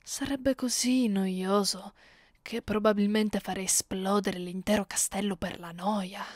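A woman voicing a haughty, cruel, centuries-old vampire mistress in an ASMR roleplay, imperious and taunting in a measured way. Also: medium pitch, steady tone, a little mellow, no echo, clean studio sound, natural voice. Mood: condescending.

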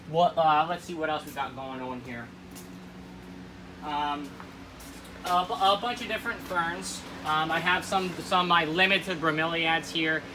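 A man's voice: a little high, background noise. A young man giving a casual walkthrough tour of his plant shop's warehouse and grow room, upbeat and rambling with frequent ums, filmed on a handheld camera. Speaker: plant shop owner.

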